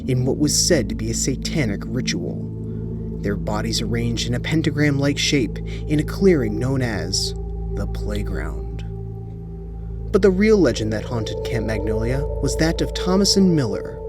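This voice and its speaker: A man reads a scripted spooky story in a dramatic, deliberate storytelling manner. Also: mid-range voice, big pitch swings. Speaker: narrator of horror stories. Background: music bed under the voice.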